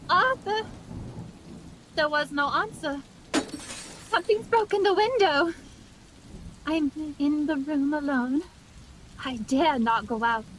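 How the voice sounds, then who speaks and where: A woman playing a frightened, fragile young gentlewoman in love, with slow, tremulous delivery in a radio play. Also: sits high, a little hiss or hum, poor audio. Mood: dreading, dazed. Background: music, rain and thunder.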